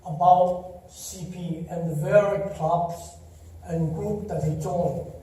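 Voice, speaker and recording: An older man with a Chinese accent, Taiwanese his first language, giving a slow, warm eulogy full of gentle storytelling at a memorial service. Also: high voice, steady tone, a little hiss or hum. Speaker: eulogy speaker. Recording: echoey hall, distant mic.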